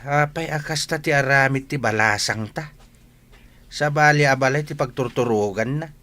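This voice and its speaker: A man's voice: mid-range voice, normal speed, bright, forceful tone, close to an everyday voice. A man playing an indignant, hot-tempered village father in a radio drama, scolding emphatically. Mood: angry, exasperated.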